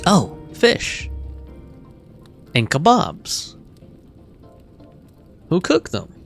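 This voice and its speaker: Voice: medium pitch, huge pitch swings, extremely slow, vocal fry, soft background music. A game streamer giving casual live commentary and chatting with viewers in laid-back, slangy phrasing.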